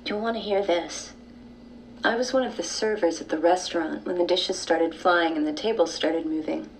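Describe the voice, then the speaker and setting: An actress playing a mysterious, reclusive woman with occult leanings in an audio drama, measured and calmly insistent. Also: lowish voice, slight background noise, lightly acted, rough recording. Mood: secretive, insistent. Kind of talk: naturalistic audio drama acting.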